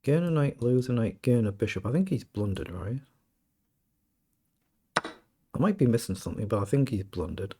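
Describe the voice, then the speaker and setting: A British man with a slightly croaky voice, casually commentating his own chess game and thinking aloud. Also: mid-range voice, animated, moderate pace, long pauses, warm tone. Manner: thinking aloud, self-questioning. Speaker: chess streamer.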